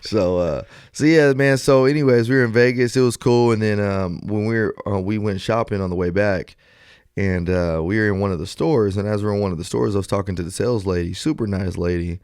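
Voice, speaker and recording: A man with a deep voice hosts a podcast in a dry, close-sounding recording. He talks in loose, slangy sentences like he is chatting with friends. When he retells an exchange, he acts out the lines. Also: brisk, a little mellow, clean tone, no room sound. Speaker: podcast host. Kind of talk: casual podcast banter and storytelling.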